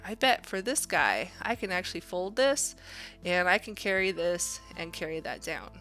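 Bright-voiced woman teaching, chatty and informal. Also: mid-range voice. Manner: chatty, encouraging. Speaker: art workshop instructor. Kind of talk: informal drawing demonstration, thinking aloud.

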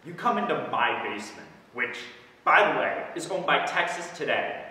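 A man's voice: mid-range voice, expressive, moderate pace, pushed and bright. A teenage boy performing a stage monologue as a scornful, bitter outsider, with intense, sarcastic, theatrical delivery. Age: teens. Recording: very echoey hall, far from mic.

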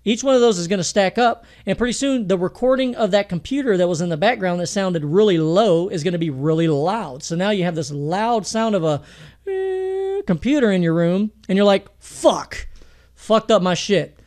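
A man's voice: high-pitched, animated, a little fast, a little mellow. A man with a thin voice teaching mixing in a home studio, talking casually with fillers and restarts. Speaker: music producer teaching mixing. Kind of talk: screen-recorded tutorial talk.

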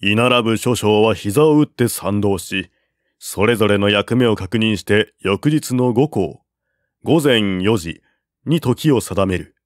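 Slow, measured male narrator. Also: lowish voice, no room sound, clean studio sound. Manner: measured, deliberate reading with raised voice for quoted generals. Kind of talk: scripted reading of a classic war novel.

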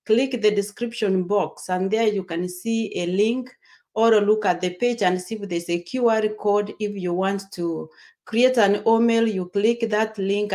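A woman from Kenya hosting a video call interview, explaining things in an upbeat, quick way. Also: medium pitch, darkish tone. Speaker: host. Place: home room. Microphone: webcam on video call.

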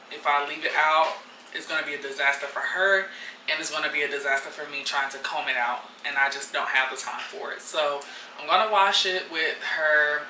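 Low-voiced woman chatting in an echoey kitchen. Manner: chatty, relaxed and conversational, with frequent fillers. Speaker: lifestyle vlogger. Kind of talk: casual vlog chat to camera. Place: small apartment kitchen indoors. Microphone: camera mic from a few feet away. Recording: echoey kitchen, distant camera mic, audible background noise.

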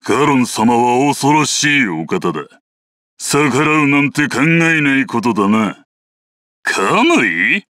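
An actor playing an ambitious, thuggish guard captain in a video game, coarse, boastful and menacing. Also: male voice, mid-range voice, very animated, normal speed, sharp tone, dry recording, clean studio sound. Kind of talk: video game character voice clips.

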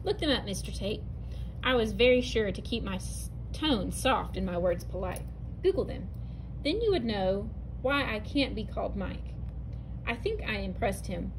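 A woman teacher reading a narrative poem aloud from a picture book, clear and expressive, with a few small restarts. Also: mid-range voice, moderate pace, background noise. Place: indoors, at a table over an open book.

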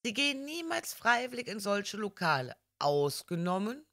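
A woman voicing a gentle, hesitant, absent-minded male priest in an audiobook, speaking mildly and slowly. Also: medium pitch, very animated, no room sound, clean studio sound, pitched above the performer's own voice. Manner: mild, slow and hesitant. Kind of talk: audiobook character dialogue.

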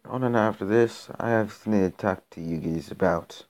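A young man hosting a mobile game channel, his voice a bit raspy from being sick, rambling and cutting himself off as he comments on his matches. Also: on the low side, fairly quick, a little mellow, low-quality recording. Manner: rambling, self-interrupting, gets exasperated during play. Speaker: gaming channel host. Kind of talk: casual gameplay commentary.

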